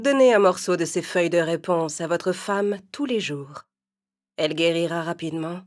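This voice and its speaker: A woman's voice: on the low side, normal speed, soft background music, lightly acted. A woman voicing a haughty, menacing witch who bargains, in an imperious manner, for a children's storybook. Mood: conceding.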